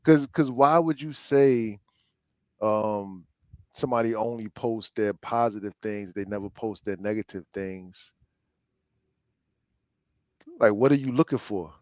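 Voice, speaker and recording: A man's voice: on the low side, a little slow, long pauses, no echo. A man chatting casually on a podcast panel, rambling and repeating his words as he works toward a point. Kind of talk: casual chat. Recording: dull, muffled, dry sound.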